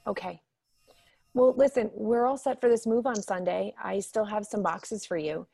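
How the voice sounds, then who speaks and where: A woman playing a caring, perceptive middle-aged mother in a radio drama, gentle and concerned. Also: medium pitch, steady tone, normal speed, creaky, breathy edge, no room sound.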